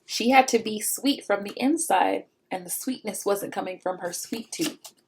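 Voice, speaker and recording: A woman hosting a children's storybook read-aloud in an expressive, chatty way, often asking listeners questions. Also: medium pitch, normal speed, vocal fry, husky.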